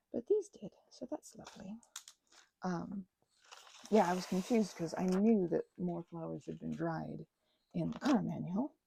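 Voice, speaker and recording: A mellow, husky woman with a creaky edge chats through a craft project. She rambles whimsically, half to herself and half to her materials, and drifts toward a whisper at times. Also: medium pitch, no room sound. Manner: whimsical, talks to herself and objects. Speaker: crafting vlogger. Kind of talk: rambling casual craft chat, ASMR-style.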